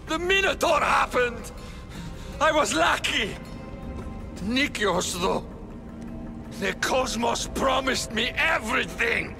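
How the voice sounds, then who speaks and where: An actor playing a ruthless, cornered crime boss in hiding, ranting and menacing in a video game cutscene. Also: male voice, very high-pitched, lively intonation, creaky, raised voice, exaggerated voice. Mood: frantic, furious. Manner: ranting, menacing, slow delivery. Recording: music under the voice.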